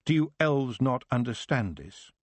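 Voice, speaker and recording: A man voicing a sensible young witch who speaks calmly and plainly, reasoning things through. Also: mid-range voice, unhurried, dry recording. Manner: calm, plain-spoken, reasoning.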